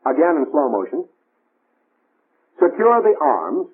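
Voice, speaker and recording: A man's voice: on the high side, huge pitch swings, unhurried, husky. A man narrating a 1940s military training film in scripted, clipped, imperative instructions. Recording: tinny band-limited 1940s film soundtrack.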